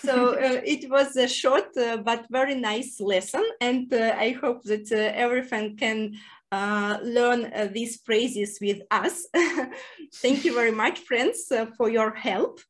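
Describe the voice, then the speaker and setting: A woman in her thirties hosting an informal tutorial, speaking deliberate, hesitant non-native English. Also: fairly high pitch. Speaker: host of a ham radio tutorial series. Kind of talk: informal language lesson, presenting to camera. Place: small indoor room with whiteboard.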